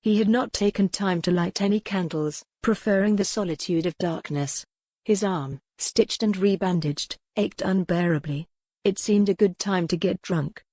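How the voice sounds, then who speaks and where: Dark, mellow woman narrating a novel. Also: mid-range voice, normal speed, dry recording. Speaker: audiobook narrator. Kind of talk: scripted narration of a historical romance novel.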